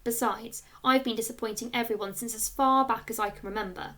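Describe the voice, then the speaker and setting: A woman narrates a children's book in a fairly high voice. She reads like a teacher to pupils, expressively and with storytelling emphasis. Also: steady tone, brisk, bright, forceful tone. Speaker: teacher reading aloud.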